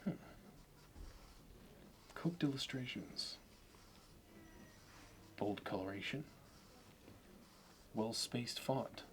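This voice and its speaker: A man playing a polished, courteous and meticulous finance executive in an ASMR roleplay, speaking in a measured, halting and formal way. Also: medium pitch, slight background noise, close to an everyday voice. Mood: meticulously appraising. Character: polished, courteous, obsessively appraising male Manhattan finance executive.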